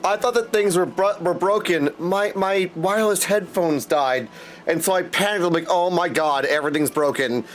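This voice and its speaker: A man's voice: high voice, normal speed. A man chatting casually and playfully on a game livestream, teasing himself with silly words. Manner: playful, self-teasing, goofy wordplay. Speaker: game streamer. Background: video game music.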